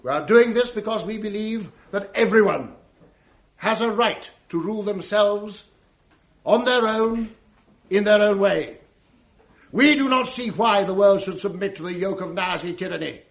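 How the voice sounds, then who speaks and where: An actor playing a stern British war veteran, giving an emphatic, deliberate patriotic speech in a radio drama. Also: male voice, sits high. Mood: rousing, solemn.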